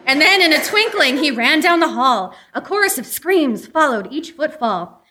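Bright, high-pitched woman reciting comic verse. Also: big pitch swings, normal speed. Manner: theatrical storybook delivery, breaking into laughter. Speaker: live variety show performer. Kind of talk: comic recitation of a parody Christmas poem. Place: live event at a comic book shop.

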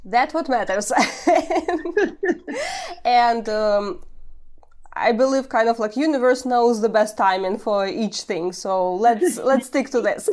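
An upbeat woman hosting a podcast interview, with a fairly high voice. She speaks with emphasis and energy as she sets up the conversation and guides her guest. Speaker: podcast host.

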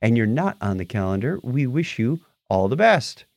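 A man's voice: medium pitch, moderate pace, vocal fry, dry recording. A man co-hosting a podcast, chatting casually with a joking, playful manner. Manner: joking, lively, playful asides. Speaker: podcast co-host. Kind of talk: casual banter.

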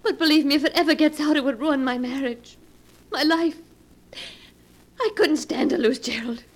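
An actress playing an anxious, desperate married woman in melodramatic 1940s radio style, with halting, breathless pleading. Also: sits high, natural voice.

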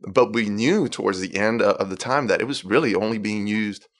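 A man's voice: lowish voice, fairly quick. A man in his thirties from Puerto Rico with a Puerto Rican accent, a worship leader answering questions in a friendly, agreeable way. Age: 30s. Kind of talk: conversational interview.

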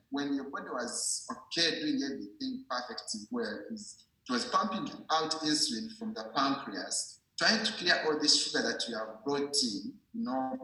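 Bright-voiced man explaining, very echoey room. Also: mid-range voice. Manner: didactic, repeats and checks understanding. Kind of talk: informal medical explanation.

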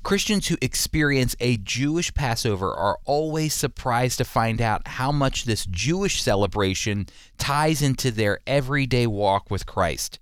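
Man, radio preacher and host, smooth clean voice, emphatic deliberate delivery, scripture reading, dry studio sound. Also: mid-range voice, lively intonation, dry recording. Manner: emphatic, deliberate phrasing with rhetorical questions. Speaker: radio Bible teacher and host.

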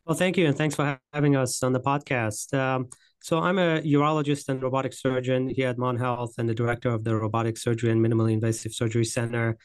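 An American man from West Virginia, a surgeon introducing himself conversationally as a guest, with measured, hesitant phrasing. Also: medium pitch, steady tone, clean tone, no room sound, clean studio sound. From West Virginia, United States. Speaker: interview guest, urologist and robotic surgeon.

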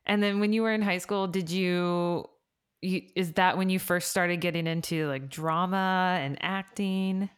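A woman interviewing a guest in casual chat, bubbly and giggly, with animated asides. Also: fairly low pitch, unhurried, clean tone.

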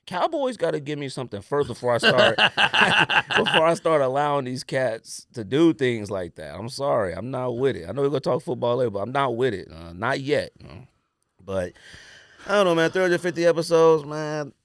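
A man's voice: medium pitch, animated, moderate pace, a bit bright, dry recording, studio quality. An American man hosting a podcast, chatting casually and jokingly in slangy speech about sports and sneakers. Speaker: podcast host.

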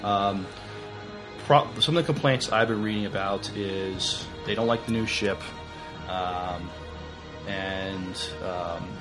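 A man with a lowish voice chats as a podcast co-host. He passes on news casually and haltingly, pausing and starting again, with music clearly audible behind him. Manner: halting, hesitant delivery.

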